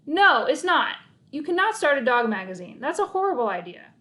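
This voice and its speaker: Casting: woman reader plays storybook boy, confident math-whiz schemer, high voice, matter-of-fact and emphatic. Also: big pitch swings, fairly quick, pushed and bright, full character voice. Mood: explaining.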